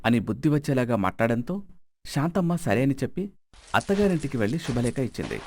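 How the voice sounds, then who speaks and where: A man's voice: mid-range voice, very animated, brisk, slight background noise. A man narrating a scripted moral story as its storyteller.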